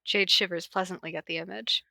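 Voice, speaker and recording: A woman's voice: on the low side, steady tone, a little fast, vocal fry, bright, forceful tone, no echo. A woman podcast host cold-reading a story aloud, with casual, self-deprecating asides.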